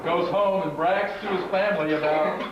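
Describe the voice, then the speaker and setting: A man's voice: sits high, fairly even intonation, moderate pace. A man narrating a puppet show as scripted storytelling, in a declamatory, folksy way. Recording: very echoey, distant, dull, noisy live recording.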